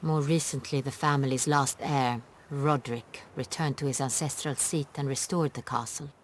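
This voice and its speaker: A woman playing a poised, earnest noble ruler in a fantasy video game, with formal and measured phrasing. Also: rumbling low, fairly even intonation, slight background noise. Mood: composed. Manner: formal, measured, precise wording. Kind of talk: video game dialogue acting.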